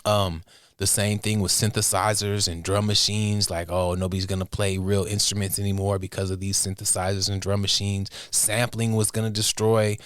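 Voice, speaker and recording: A man with a fairly low voice speaks as an interview guest. He thinks aloud in halting, measured phrases, giving considered conversational answers. The sound is close and dry. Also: little pitch movement, a bit bright, no room sound. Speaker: interview guest, music producer and inventor.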